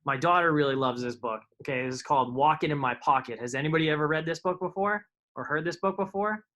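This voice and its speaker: A man's voice: fairly high pitch, fast, a bit bright. Man reading picture books aloud to kids. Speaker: karate instructor reading children's books aloud. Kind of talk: live storytime read-aloud to children.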